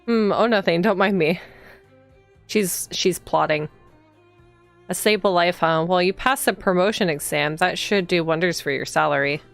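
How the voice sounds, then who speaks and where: A woman livestreaming a visual novel, reading the game text aloud and chatting casually as she thinks out loud and reacts to the story. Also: lowish voice, moderate pace, creaky. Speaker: streamer playing a visual novel. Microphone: streaming microphone. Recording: background music behind the voice. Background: game music.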